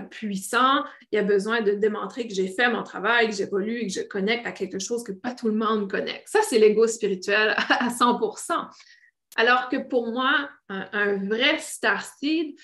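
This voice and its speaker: French Canadian woman, halting unscripted talk. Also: mid-range voice, a bit bright. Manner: halting, searching for words, repeating phrases. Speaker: spiritual teacher hosting a live stream. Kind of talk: unscripted live talk to online viewers.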